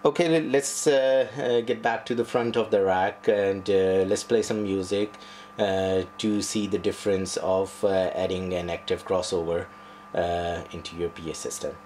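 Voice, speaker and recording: A man presenting an unscripted step-by-step tutorial in a halting, hesitant way. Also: deep voice, steady tone, a little mellow, a little hiss or hum. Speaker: presenter of an audio setup tutorial.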